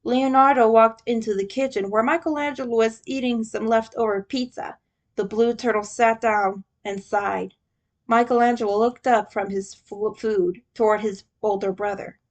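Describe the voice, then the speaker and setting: A woman's voice: a little high, steady tone, normal speed. A woman narrating a fan fiction story from a script.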